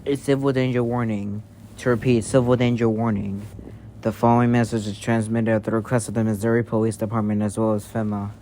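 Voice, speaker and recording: A man's voice: lowish voice, little pitch movement, a little fast, mellow, pitched below the performer's own voice, close to an everyday voice. A man playing a flustered, easily panicked emergency newsreader in an amateur alert parody, whose formal announcements lapse into rambling. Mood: formal, halting. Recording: noisy amateur home recording.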